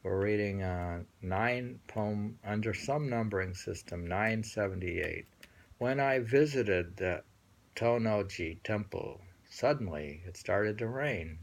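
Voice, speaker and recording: Slow, low, gravelly man reading poetry. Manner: musing, halting, repeats phrases. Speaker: host reading and discussing poems. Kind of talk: poetry reading with casual commentary.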